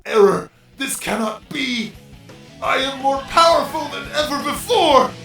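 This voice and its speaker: Menacing robot villain, sharp gritty tone, animated delivery, arrogant, audio drama. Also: high voice, a little slow, gravelly, soft background music, exaggerated voice. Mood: outraged disbelief. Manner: grandiose, snarling threats. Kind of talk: fan audio drama acting.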